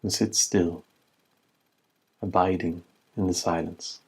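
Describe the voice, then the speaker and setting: A man giving guided meditation instruction as a teacher, in measured short phrases with long pauses. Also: low voice, steady tone, a little mellow, husky.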